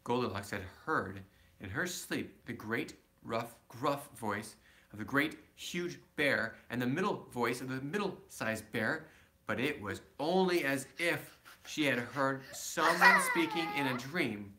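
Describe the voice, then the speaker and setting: Animated man reading a storybook aloud. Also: medium pitch, unhurried. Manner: simple explanatory asides to a child. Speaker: father reading aloud to his child. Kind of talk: children's storybook narration with casual asides.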